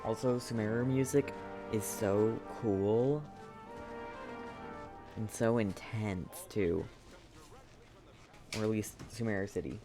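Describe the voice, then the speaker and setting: A man's voice: on the low side, slow, pauses often. A man giving casual gameplay commentary, laid-back and musing aloud to himself. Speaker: game streamer. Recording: game music behind.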